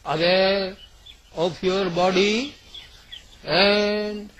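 Male meditation guide, higher-pitched voice, extremely slow delivery in brief phrases, faint background noise with birdsong. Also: fairly even intonation. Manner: deliberate short phrases with long pauses. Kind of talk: slow guided yoga nidra meditation narration.

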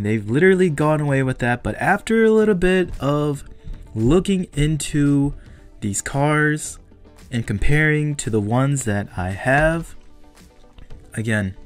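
A man casually commenting on an unboxing of collectible toy cars, speaking with eager excitement about value. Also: mid-range voice. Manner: enthusiastic, speculative collector talk. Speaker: toy car collector vlogger.

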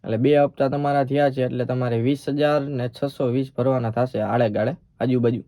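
A man's voice: medium pitch, fairly even intonation, a little fast, warm tone, no echo. A man with a Saurashtra Gujarati accent making a prank call as a polite, persistent bank employee. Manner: polite, persistent, official-sounding. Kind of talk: prank phone call in casual dialect.

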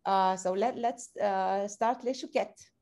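A woman hosting an online event, speaking haltingly with many pauses. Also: medium pitch, darkish tone. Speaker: event host.

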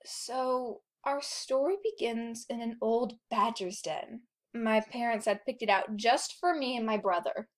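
A woman voicing a grown female wolf narrating her own tale in a measured way for a cartoon audition. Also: on the high side, natural voice. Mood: storytelling. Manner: measured storytelling.

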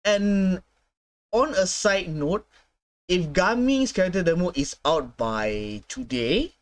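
A man in his twenties hosting a casual gaming reaction, halting and repeating himself as he searches for words. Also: sits high, sing-song. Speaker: gaming reaction video host. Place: small room at home, indoors.

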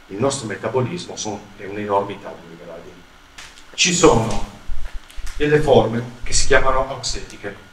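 A man's voice: lowish voice, a bit bright. A man in his fifties from Italy giving a product training presentation in a conversational, explanatory manner, checking in with his listeners, speaking into a handheld microphone in a large conference room. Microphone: handheld microphone, recorded by a distant camera. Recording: roomy, distant, noisy conference-room capture.

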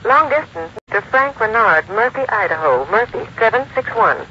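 An actor playing a brisk long-distance telephone operator, polite and efficient, in a radio drama. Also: male voice, sits high, expressive, sharp tone, lo-fi sound, heavily acted. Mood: routine. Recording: hissy old radio broadcast.

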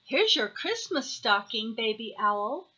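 Woman as a high-voiced, soothing mother owl. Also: big pitch swings, slow, bright, forceful tone, husky, highs cut off, heavily acted. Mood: tender.

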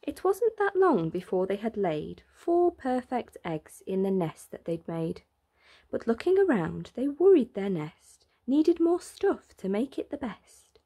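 A woman reading a rhyming children's picture book aloud in an expressive, deliberate way, drawing out words for emphasis. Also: on the high side, husky. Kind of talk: expressive read-aloud of a rhyming bedtime story.

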